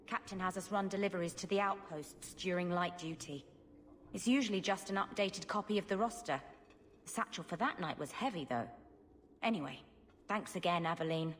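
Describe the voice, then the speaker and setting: A woman's voice: fairly low pitch, fairly quick, slight background noise, natural voice. A woman playing a grateful city guard in a video game, matter-of-fact and friendly. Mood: grateful, plain. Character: grateful, plain-spoken female city guard.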